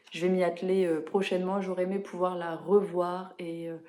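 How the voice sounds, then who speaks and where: A young woman chatting casually about books she has read, with many fillers and quick self-corrections. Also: fairly low pitch, mellow. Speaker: book vlogger. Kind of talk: casual book review chat.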